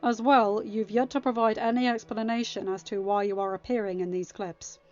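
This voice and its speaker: A woman's voice: mid-range voice, fairly even intonation, normal speed, darkish tone, muffled recording, close to an everyday voice. A woman voicing a firm, formal young king presiding over a council in a story reading. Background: music.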